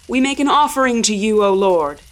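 A woman's voice: medium pitch, animated, a little fast, fairly bright tone, voice pushed up, natural voice. A woman voicing a menacing male cult leader in a horror audio story, declaiming in a ceremonial, grandiose way. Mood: solemn, grandiose.

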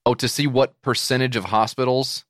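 An American man co-hosting a comedy podcast, sarcastic and jokey, reading an ad in mock-excited bursts. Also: mid-range voice, normal speed, no room sound, clean studio sound. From United States. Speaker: podcast co-host. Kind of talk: comedic podcast banter and read-out ad.

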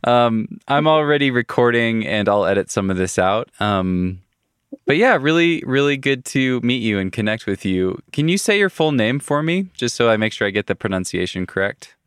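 A man's voice: mid-range voice, lively intonation, normal speed, fairly bright tone, clear, resonant tone, dry recording. Guy hosting a podcast, laughs a lot, dry close sound. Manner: friendly, laughs often, asks questions with repeated restarts. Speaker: podcast host. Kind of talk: casual interview.

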